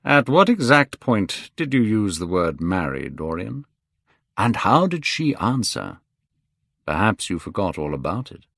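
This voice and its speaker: A man voicing a worldly, cynical aristocrat with a slow, musical voice in a storybook reading. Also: fairly low pitch, very animated, vocal fry, fairly bright tone, no echo, studio quality, full character voice.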